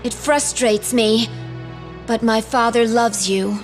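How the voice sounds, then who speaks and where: Fantasy game heroine: proud young princess, high voice, formal and dutiful, music behind. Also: female voice, steady tone, slow. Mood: earnest, guarded. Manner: formal, earnest. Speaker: voice actor in a fantasy video game. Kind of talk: dramatic game dub acting.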